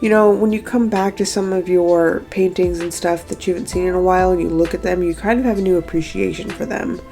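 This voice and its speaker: A young woman with a hoarse, stuffy morning voice, chatting casually and self-critically about her own paintings in an art vlog. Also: on the low side, steady tone, a little fast, dark tone, faint music behind. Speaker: art vlogger. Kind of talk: casual talking-head recap.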